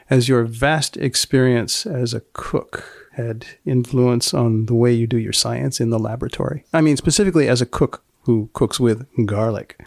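Dry deadpan guy hosting a podcast, lots of creak in his voice, kinda deliberate. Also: medium pitch. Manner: deliberate, dryly probing, deadpan. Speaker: podcast host. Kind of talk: scripted narration and deadpan interviewing.

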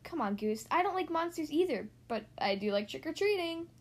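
Storybook duck, young male, easygoing and keen, high-pitched, voiced by a woman. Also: sing-song, brisk. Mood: eager.

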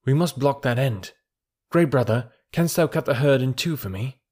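A man's voice: mid-range voice, lively intonation, normal speed, darkish tone, breathy edge, pitched above the performer's own voice. A man voicing a bold young jungle boy who gives orders eagerly. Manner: eager, commanding calls.

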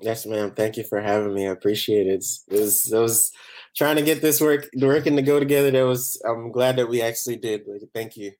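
A man from Barbados, chatting casually as a chef guest in a relaxed, rambling way. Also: mid-range voice, a little fast. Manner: relaxed, rambling, many "you know" fillers. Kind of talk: casual interview chat.

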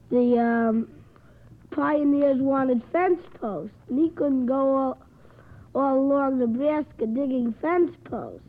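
High voiced woman on an old radio quiz, slow halting answer, tinny recording. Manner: hesitant, halting delivery. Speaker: quiz show panelist. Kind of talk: unscripted storytelling answer. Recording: lo-fi vintage radio broadcast recording.

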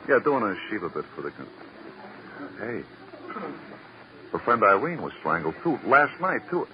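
Brief: nervy, defensive waiter, very low voice, slangy, muffled vintage radio recording. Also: male voice, big pitch swings, moderate pace, slight background noise. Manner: insistent, repeats himself, slangy. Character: defensive, jittery waiter under police questioning. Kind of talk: 1950s radio crime drama acting.

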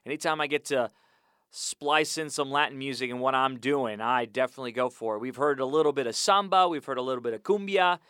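A man's voice: medium pitch, a little fast, pushed and bright, smooth, no echo, studio quality. A man hosting a sports podcast from a small audio booth, chatty and upbeat with rambling asides. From United States. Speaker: podcast host. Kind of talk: casual podcast intro and interview.

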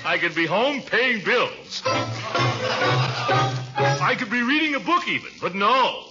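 An actor playing a pompous, easily smitten middle-aged American suitor in a blustering, theatrical 1940s radio comedy style. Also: male voice, high voice, big pitch swings, takes it slowly, heavily acted. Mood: exasperated. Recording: muffled old radio recording with music.

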